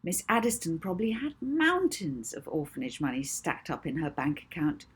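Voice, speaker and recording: A woman reading a children's novel aloud as an expressive audiobook narrator. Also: deep voice, normal speed, slightly rough.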